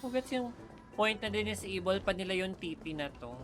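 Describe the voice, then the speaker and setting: A woman's voice: mid-range voice, expressive, fairly quick, over music. A woman with a Filipino accent, speaking casually as she live-streams a game and slipping between languages in her asides. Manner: mixes English with Tagalog in casual asides. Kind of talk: live gaming stream, reading game text aloud and commenting casually.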